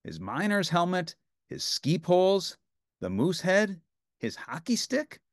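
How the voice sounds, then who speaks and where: A man narrating a children's storybook as an animated read-aloud. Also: sits high, slow pace, dry recording, studio quality.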